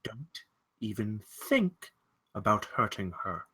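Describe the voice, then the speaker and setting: An actor at a film script table read playing a ruthless armed villain, threatening, taunting and coldly scornful. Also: male voice, fairly low pitch, big pitch swings, slow pace, bright, forceful tone, slightly rough, full character voice.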